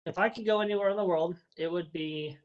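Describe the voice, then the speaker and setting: A man's voice: sits high, fast talker, dull audio. A man answering interview questions casually, friendly and rambling, repeating and restarting his words, talking over a video call. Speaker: interviewee, first responder and dog handler.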